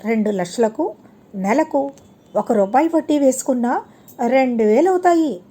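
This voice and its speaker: A woman's voice: high voice, lively intonation, normal speed, mellow, breathy edge. A woman voicing a thrifty father who rents his home, earnest and reasoning, in an audiobook story. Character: thrifty father Ramanatham, a worried male tenant.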